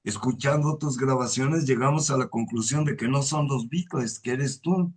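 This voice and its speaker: A man's voice: medium pitch, husky. A man hosting a conversational interview, talking in long, hesitant sentences full of fillers. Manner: hesitant and wordy, many drawn-out fillers. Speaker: host. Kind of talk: conversational interview on a video call.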